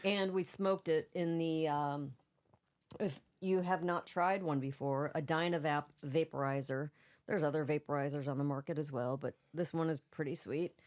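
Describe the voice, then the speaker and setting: A woman's voice: deep voice, fairly even intonation, normal speed, darkish tone. A woman co-hosting a casual podcast, chatty and giggly, her nose stuffed up and congested. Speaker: podcast co-host.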